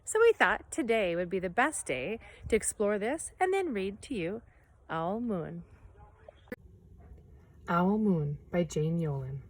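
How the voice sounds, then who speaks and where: A woman reading a picture book aloud outdoors in a forest, hushed and expressive, sometimes dropping to a whisper. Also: mid-range voice, slow pace, long pauses. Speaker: nature preserve storyteller.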